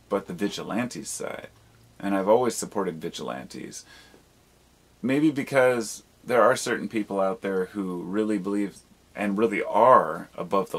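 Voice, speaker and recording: Male vlogger, low-pitched and rough-edged husky voice, candid, reflective, loose conversational rambling with casual swearing. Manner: reflective, self-questioning. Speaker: video blogger. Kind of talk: rambling personal monologue, casual chat.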